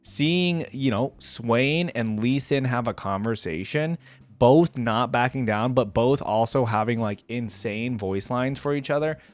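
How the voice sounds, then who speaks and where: A man's voice: medium pitch, a little slow, no room sound. A man hosting a casual game reaction video, talking in an informal, slangy, effusive way. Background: music.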